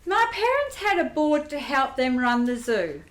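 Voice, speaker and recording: A streetwise young female grifter played by a woman with a highish voice in a roomy, echoey recording. She speaks candidly and plainly, like a resilient survivor in a radio play. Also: very animated, measured pace. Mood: candid storytelling.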